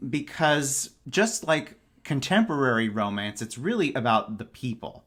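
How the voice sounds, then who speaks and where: A man's voice: medium pitch, sing-song, measured pace, fairly bright tone, husky. A man speaking as a podcast guest in a casual book discussion, enthusiastic and emphatic in his wording.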